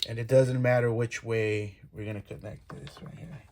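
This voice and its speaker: Gravelly-voiced man giving a casual tutorial. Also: mid-range voice, fairly even intonation, moderate pace, darkish tone. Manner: informal, talks through each step while working. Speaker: DIY video host. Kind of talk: casual hands-on tutorial talk. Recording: clear background noise, clicks.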